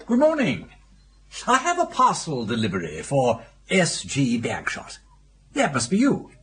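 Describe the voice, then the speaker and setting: An actor playing a jaunty upper-class English gentleman in his sixties in a radio drama, breezy and genial. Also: medium pitch, huge pitch swings, measured pace, husky, exaggerated voice. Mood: genial, curious. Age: 60s. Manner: breezy, genial, clipped asides. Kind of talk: British radio drama acting.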